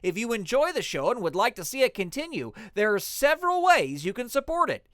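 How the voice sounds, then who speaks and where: A man's voice: very high voice, big pitch swings, fairly quick, a bit bright, dry recording, studio quality. A man narrating and announcing in a scripted, theatrical radio-serial style. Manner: theatrical, presentational.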